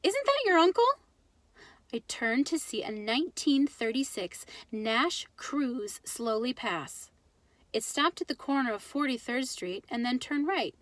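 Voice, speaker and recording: A woman reading a children's story aloud in an animated storytelling style. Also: on the high side, a little slow, no echo. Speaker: children's book reader.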